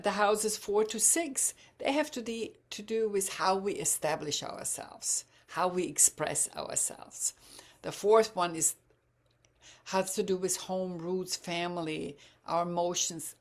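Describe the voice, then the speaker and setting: A woman, her voice low and gravelly with fry. She explains things at an easy, halting pace, often pausing on fillers and restarting her sentences. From Germany or Croatia, bilingual German-Croatian. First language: German. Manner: hesitant, many fillers and restarts. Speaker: astrology speaker. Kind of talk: informal talk with slides.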